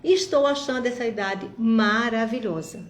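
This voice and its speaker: A woman's voice: fairly high pitch, normal speed, roomy sound. A woman in her fifties giving an encouraging, reflective talk straight to her viewers. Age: 50s. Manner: warm, encouraging, reflective, addresses viewers directly. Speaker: vlogger. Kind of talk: motivational monologue to viewers.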